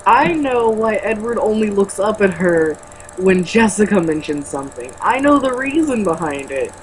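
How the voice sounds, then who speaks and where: A woman's voice: medium pitch, animated, normal speed, rough recording. A woman with a mid-range voice reads a book aloud in an informal, amateur way. She stumbles on the odd word and makes blunt, sweary asides. It is a home recording with noticeable background noise. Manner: stumbles over words when reading, blunt and sweary in asides. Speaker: co-host reading a novel aloud with commentary. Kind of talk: amateur read-aloud with mocking casual commentary.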